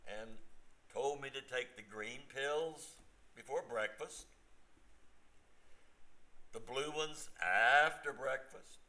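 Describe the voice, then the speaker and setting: An older man playing a matter-of-fact, mildly exasperated family man in an amateur staged reading, with a halting, dry delivery. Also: medium pitch, sharp tone.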